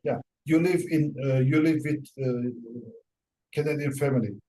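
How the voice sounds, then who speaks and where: A man hosting an online interview, hesitant with frequent pauses and fillers. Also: on the high side, steady tone, warm tone, rough recording. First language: Turkish. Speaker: host.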